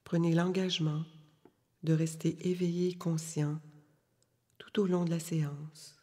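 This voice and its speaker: A woman leading a guided yoga nidra meditation, giving calm, soothing, unhurried instructions with long pauses in a soft, breathy voice. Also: on the low side. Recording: long reverberant hall-like echo.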